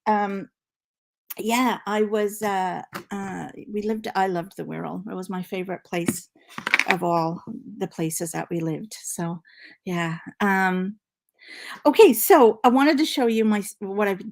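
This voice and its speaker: A middle-aged woman from Liverpool with a Canadian accent and faint Liverpool traces, hosting a casual knitting live stream and chatting excitedly with many fillers. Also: mid-range voice, slow, gravelly, slight background noise. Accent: Canadian English with faint Liverpool traces. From Liverpool, England. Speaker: knitting channel host. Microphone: laptop mic.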